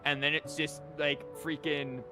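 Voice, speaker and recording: A man's voice: on the high side, flat delivery, unhurried. Rambling man streaming over game music. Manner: rambling, conversational. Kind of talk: casual livestream chat and game commentary.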